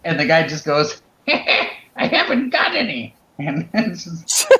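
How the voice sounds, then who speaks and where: A man's voice: on the high side, big pitch swings, slow, pushed and bright. A man chatting casually as a podcast panelist, telling an anecdote. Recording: dull, roomy, faintly noisy group recording.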